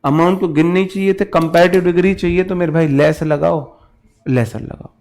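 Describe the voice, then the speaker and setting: A man's voice: sits high, fairly even intonation, moderate pace, darkish tone. A man with an Indian accent teaching a grammar lesson informally, drilling points by repetition and addressing students familiarly. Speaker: English grammar teacher for exam candidates. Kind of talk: informal classroom-style grammar lesson, switching between Hindi and English.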